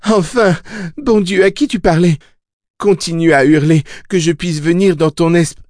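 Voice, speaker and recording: A man plays a young boy in an audiobook adventure. He is agitated and calls out urgently. The pitch sits far above the reader's own. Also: takes it slowly, dry recording, clean studio sound. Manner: urgent, exclaiming.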